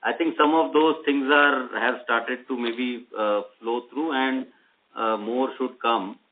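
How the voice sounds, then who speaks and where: A man with an Indian accent giving halting, measured, explanatory executive answers on a conference call. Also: medium pitch, fairly even intonation, a little hiss or hum. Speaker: company executive answering analysts' questions. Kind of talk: unscripted earnings call answers. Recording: narrowband phone-line audio.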